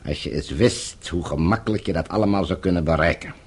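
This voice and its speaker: An actor playing a falsely courteous, menacing captor and regime agent in a Dutch radio drama, speaking in measured, formal, condescending phrases. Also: male voice, deep voice, a little mellow, slightly rough. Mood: coolly menacing.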